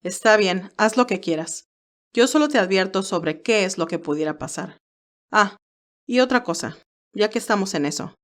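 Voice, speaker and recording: A woman's voice: mid-range voice, animated, normal speed, natural voice. A woman voicing a stern, protective older male advisor in an audio drama, speaking in an earnest, admonishing way.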